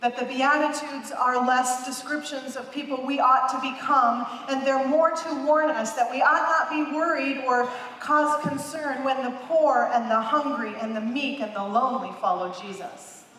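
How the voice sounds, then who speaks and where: A woman's voice: high voice, fairly even intonation, normal speed, few pauses, bright, forceful tone. A woman in her fifties, a pastor preaching a sermon on a large church stage, emphatic and repeating phrases for emphasis. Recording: echoey hall, heard from a distance.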